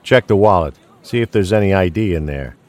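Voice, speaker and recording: A man playing a gruff, weary older police detective near retirement in an audio drama, blunt and dry. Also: deep voice, animated, brisk, a little mellow. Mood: gruff, businesslike.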